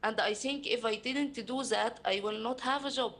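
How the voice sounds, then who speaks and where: A young woman with an Egyptian accent and Arabic as her first language, speaking earnestly in halting English to an audience. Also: a little high, a bit bright. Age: young adult. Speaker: panel speaker. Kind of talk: informal talk to an audience.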